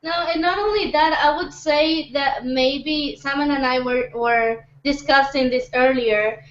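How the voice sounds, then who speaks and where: High-voiced woman in a roomy, muffled recording. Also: a little slow. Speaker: panelist in a fan discussion. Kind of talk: casual group discussion.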